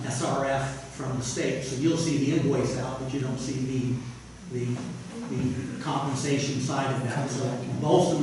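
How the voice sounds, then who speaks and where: A man, a city official, explaining budget details at a public meeting in a halting, explanatory way. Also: medium pitch. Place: council meeting hall. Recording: echoey hall, distant mic, background noise.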